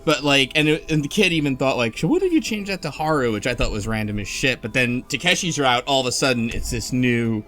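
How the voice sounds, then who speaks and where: A man's voice: mid-range voice, expressive, fairly quick, hardly any pauses, a bit bright. Rambling, informal man over music. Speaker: book club podcast panelist. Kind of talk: casual chat recapping a game's story. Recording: music playing behind the talk.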